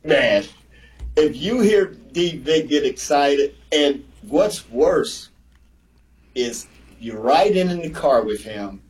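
A man who played the sport, a guest on a radio show, telling stories in a lively, repetitive way. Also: fairly high pitch, takes it slowly, low-quality recording. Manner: animated storyteller, repeats himself. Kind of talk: casual sports radio chat.

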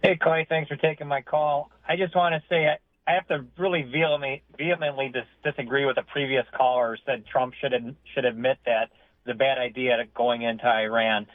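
A man's voice: medium pitch, fairly even intonation, fairly quick, no room sound. A man in his fifties calling into a radio talk show, earnest and emphatic, stumbling over his words. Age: 50s. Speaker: caller. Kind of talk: phone-in call to a radio show. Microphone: telephone. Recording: tinny phone line.

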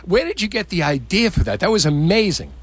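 A man's voice: high voice, very animated, brisk, husky, no room sound. A man interviewing in a casual, chatty way, enthusiastic and admiring of his guest.

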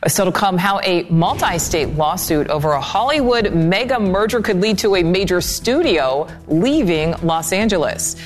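A woman's voice: lowish voice, lively intonation, soft background music. Polished woman news anchor. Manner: brisk, polished. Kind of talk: news presenting.

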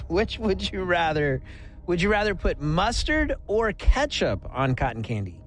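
A man's voice: sits high, very animated, a little slow, fairly bright tone. A man co-hosting morning radio as the DJ, teasing the host and laughing often.

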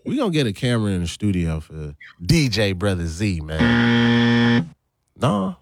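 A young man co-hosts a radio show, trading slangy, joking banter with lots of laughter. His pitch swings widely as he talks, and the studio sound is dry and close. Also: creaky, dry recording. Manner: animated, laughing, slangy, quick to imitate others.

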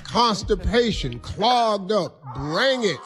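A man's voice: high-pitched, animated, slow, a bit bright, soft background music. Teasing man on morning radio. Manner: teasing, laughing, giving mock advice. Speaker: radio host. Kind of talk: comic morning radio banter.

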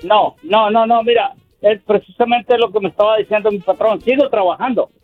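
An older man calling in to a radio show, talking in a hesitant, halting way with many fillers. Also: sits high, soft background music. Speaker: caller. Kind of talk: phone-in radio call. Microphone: telephone. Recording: tinny dry phone line.

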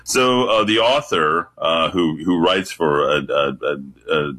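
A man with a deep voice calling into a radio show, talking confidently and animatedly. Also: a little slow, bright, forceful tone. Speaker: radio caller. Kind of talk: casual radio talk.

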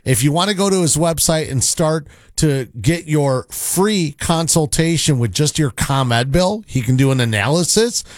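A man's voice: lively intonation, moderate pace, hardly any pauses, no echo, clean studio sound. Upbeat man reading a radio ad. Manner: upbeat, pitchy sales delivery. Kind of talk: read commercial.

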